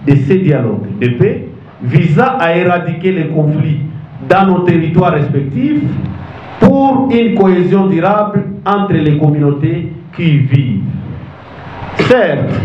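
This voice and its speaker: Congolese guy reading a formal speech real slow, solemn and halting, echoey dull room. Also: sits high, expressive. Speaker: government official opening a conference. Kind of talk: formal speech read to an audience. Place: meeting hall. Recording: echoey room, dull sound, faint noise.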